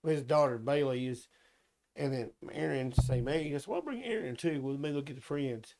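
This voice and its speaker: Man, mid-pitched, plainspoken storyteller, slightly hoarse, casual guest. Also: lively intonation, brisk, a little mellow, a little hiss or hum. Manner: plainspoken, storytelling, colloquial grammar. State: recovering from lost voice. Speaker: guest, host's uncle. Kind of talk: casual chat.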